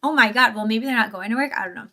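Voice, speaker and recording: A woman with a fairly high voice and a very bright tone, reacting live on a stream. She talks informally and rambles, tossing out questions and side comments as they occur to her. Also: rapid-fire. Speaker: livestreamer.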